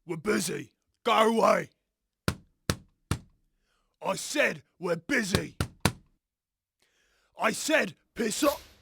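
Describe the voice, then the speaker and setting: An actor playing a tense, defeated boss with a gravelly, husky voice in an audio drama, grim and defensive. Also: male voice, high voice, expressive, drawn-out words, long pauses, background noise, exaggerated voice. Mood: irritated. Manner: grim, resigned, sternly defensive. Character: tense, defeated male boss guarding a terrible secret.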